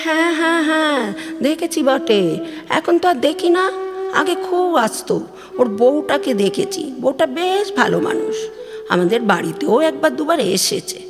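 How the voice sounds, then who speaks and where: Casting: aging village mother, played by a woman reciter, high voice, worn down and plaintive, audio drama with reverberant sound over music. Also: lively intonation, normal speed. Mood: anxious, chatty. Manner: colloquial, lamenting, exclamatory. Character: weary, worried, complaining elderly Bengali village mother.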